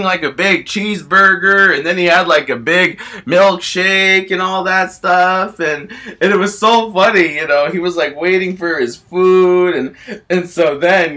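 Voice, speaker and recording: A man voicing an excitable, gleeful talking moon statue, rambling through an improvised story in run-on sentences. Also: high-pitched, voice pushed up, exaggerated voice.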